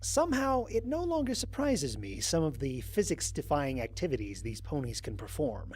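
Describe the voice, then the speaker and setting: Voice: medium pitch, moderate pace, breathy edge. An actor playing a wry, exasperated astronaut stranded on an alien world, recording mission logs in an audio drama with dry sarcasm. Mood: incredulous, deadpan. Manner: sardonic, dry, expressive emphasis.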